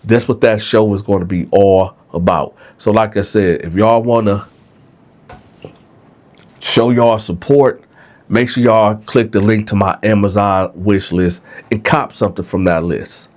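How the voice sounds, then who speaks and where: Male vlogger, lowish voice, stuffed up, casual storytelling. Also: fairly even intonation, unhurried, darkish tone. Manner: conversational, keeps asking "right?". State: stuffed-up nose, congested. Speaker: vlog host.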